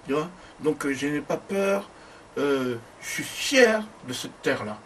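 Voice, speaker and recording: A man's voice: unhurried, slight background noise. A man from the DR Congo in a casual conversation who talks emphatically and bursts into exclamations. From Democratic Republic of the Congo. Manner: emphatic, exclamatory, jumps high when excited. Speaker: conversation partner. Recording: distant mic with faint ambience.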